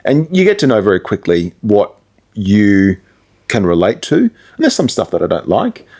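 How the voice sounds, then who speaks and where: A man's voice: on the low side, huge pitch swings, normal speed, a little mellow. A middle-aged man with an Australian accent, a radio presenter talking casually in an interview and thinking aloud as he goes. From Perth, Australia.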